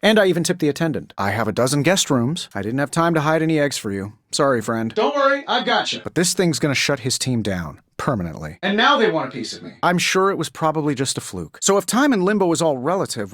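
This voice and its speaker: A man's voice: on the high side, sing-song, quick pace, few pauses, a bit bright, dry recording, clean studio sound. An actor playing a cocky genius armored superhero in a video game, sardonic and glib with his quips. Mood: breezy, then earnest. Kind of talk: video game voice acting, dramatic banter.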